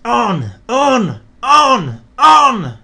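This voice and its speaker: A man doing mischievous, creaky railway trucks. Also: extremely high pitch, wildly swooping pitch, drawn-out words, sharp tone, voice pushed up, exaggerated voice. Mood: jeering. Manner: sing-song, then groaning and creaky.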